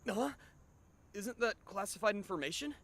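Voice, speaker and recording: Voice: mid-range voice, lively intonation, moderate pace, a bit bright. Actor as a hesitant, puzzled teenage schoolboy. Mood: confused, probing. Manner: hesitant, questioning. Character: teenage high school boy, puzzled, questioning narrator-hero. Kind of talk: anime fan dub acting. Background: background music.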